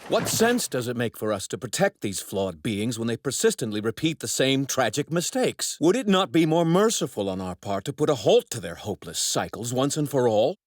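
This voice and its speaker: An actor in an English anime dub playing a haughty young divine apprentice with cold contempt for mortals, speaking in formal, pompous, precise phrases. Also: male voice, mid-range voice, no room sound, clean studio sound, close to an everyday voice.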